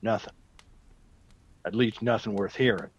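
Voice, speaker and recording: An actor playing a gruff old soldier back from India, a rambling, emphatic storyteller fond of whiskey, in a radio drama. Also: male voice, lowish voice, fairly even intonation, unhurried, lo-fi sound, slight background noise, close to an everyday voice. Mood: huffy.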